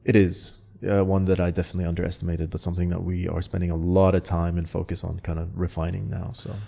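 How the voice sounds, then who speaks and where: Deep, gravelly male founder as interview guest, loose and chatty with restarts. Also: quick pace. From Canada. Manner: relaxed, many fillers and restarts. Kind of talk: casual interview talk.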